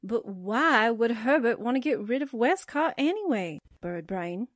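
A high, animated female voice from an actress plays a wisecracking secretary in a forties radio serial. She is pert and flippant, tossing out sassy retorts. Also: unhurried, no echo, studio quality. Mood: pert, teasing. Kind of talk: 1940s radio drama acting.

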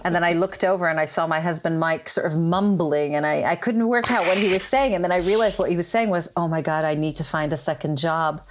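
A woman's voice: fairly low pitch, a little fast, few pauses, slight background noise. Laughing, self-mocking woman guest, Hebrew native. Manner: self-deprecating, laughs often. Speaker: podcast guest. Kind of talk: casual chat.

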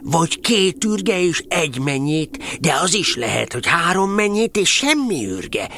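A man voicing a naive, good-natured, slow-witted toy bear in a children's audio drama, earnest and ponderously solemn in his reasoning. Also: on the high side, very animated, pushed and bright, exaggerated voice. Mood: puzzled, pondering.

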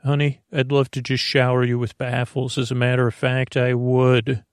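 A man hosting a sleep podcast with a meandering, halting bedtime monologue, often trailing off and repeating himself. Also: medium pitch, monotone, no echo, studio quality. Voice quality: creaky.

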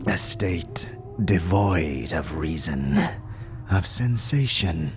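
An ancient, cryptic scribe for an audio drama. The voice is low and ragged with breath, dragging very slowly through solemn phrases that rise and sink widely. Music plays underneath. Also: male voice, animated, heavily acted. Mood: grave, philosophical. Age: old. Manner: slow, solemn, halting delivery. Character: ancient, weary, cryptic old male undead-realm scribe.

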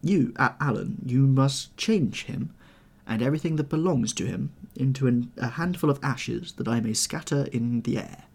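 A man voicing a desperate young gentleman who pleads urgently and tensely, in an audiobook reading. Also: mid-range voice, moderate pace, vocal fry, husky, pitched above the performer's own voice. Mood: pleading.

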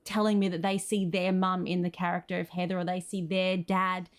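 A woman's voice: fairly low pitch, level tone, fairly quick. A woman with an Australian accent, chatting casually and good-humouredly as a guest in a podcast conversation. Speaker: guest, screenwriter and actor.

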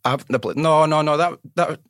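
A man's voice: fairly high pitch, moderate pace, a bit bright, no room sound, clean studio sound. A man with a Scottish accent hosting a podcast, moving between scripted introductions and casual chat. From Scotland. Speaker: podcast host.

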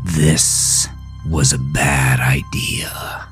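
A man's voice: very deep voice, level tone, extremely slow, music in the background, voice pushed down, full character voice. A man voicing a brash, menacing imaginary friend who taunts and gives orders in an audio story. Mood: grim. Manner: taunting, commanding.

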